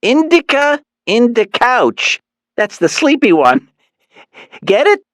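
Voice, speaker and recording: A man voicing a chatty, teasing middle-aged mother in a high, sing-song voice. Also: sing-song, slow pace, fairly bright tone, dry recording, heavily acted. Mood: teasing, giddy.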